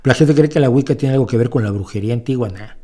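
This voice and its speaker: A man's voice: mid-range voice, quick pace, dark tone. A man hosting a show, answering viewer questions in a casual, rambling monologue full of restarts and emphatic opinions. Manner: rambling, with frequent restarts and self-corrections.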